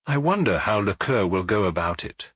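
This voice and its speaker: A man voicing a terse, brooding police detective in an audiobook, measured and questioning. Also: lowish voice, lively intonation, fairly bright tone, slightly rough, dry recording. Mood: muttering, troubled.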